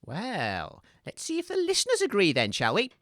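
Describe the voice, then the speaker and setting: A woman's voice: medium pitch, wildly swooping pitch, normal speed, a bit bright, no room sound, clean studio sound. A woman playing an earnest, fussy, easily exasperated radio co-host in scripted comedy, hesitant and reproachful.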